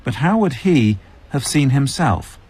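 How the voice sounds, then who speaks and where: A man reporting as a news correspondent in a measured, scripted narration. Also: mid-range voice, lively intonation, a little mellow, slightly rough.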